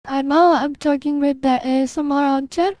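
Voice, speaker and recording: A man voicing a feminine ASMR-style character through a pitch-raising voice changer. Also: fairly even intonation, moderate pace, a little mellow, no echo. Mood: demonstrating. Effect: real-time voice changer, pitched up.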